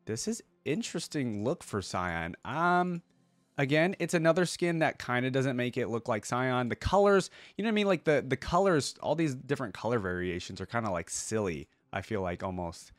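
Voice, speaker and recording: A man's voice: medium pitch, animated, normal speed, no echo, soft background music. A man hosting a reaction video, giving casual, informal commentary in slangy fan talk addressed to his viewers.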